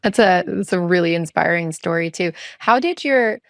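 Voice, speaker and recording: Woman, podcast host, low pitch, rambling questions, dry. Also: normal speed, creaky, no room sound. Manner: rambling, searching questions with fillers. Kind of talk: conversational interview questions.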